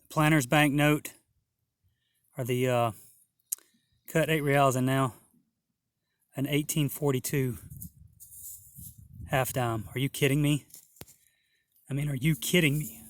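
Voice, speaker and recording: A man narrating a metal detecting hunt outdoors in a field, hoarse and awed, talking slowly with many exclamations. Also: medium pitch, fairly even intonation, no room sound, clean studio sound. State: hoarse, losing his voice. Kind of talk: casual outdoor narration to camera.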